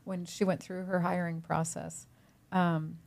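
A woman's voice: on the low side, little pitch movement, unhurried, gravelly, darkish tone. A woman in her fifties, a personal trainer and gym owner, chatting in an upbeat, easygoing way in an interview. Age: 50s. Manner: upbeat and chatty, laughs easily. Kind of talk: casual interview chat.

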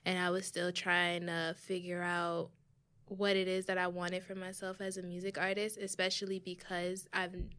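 Young woman guest w a soft voice, laid back, chatting on a podcast and cracking herself up. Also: fairly low pitch, moderate pace, smooth. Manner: relaxed storytelling, laughs often, acts out quoted voices. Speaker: guest, music artist. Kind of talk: casual podcast conversation.

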